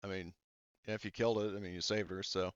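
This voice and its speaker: Smooth-voiced laid-back game master. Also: male voice, deep voice, little pitch movement, fast talker, dry recording, dull audio. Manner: rambling, filler-heavy, laid-back. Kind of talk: casual tabletop game session talk.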